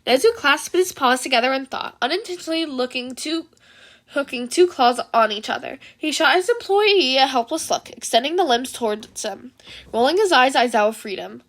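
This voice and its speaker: A woman reading fan fiction aloud as an amateur narrator, with expressive storytelling and casual asides. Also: high voice, normal speed.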